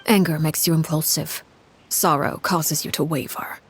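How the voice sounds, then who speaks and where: A woman voicing a cold, imposing female head of household called Father in a video game, speaking in a measured, formal, menacing way. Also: sits low, fairly even intonation, lightly acted. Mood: stern, controlled. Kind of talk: scripted video game acting. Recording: clear background noise with clicks.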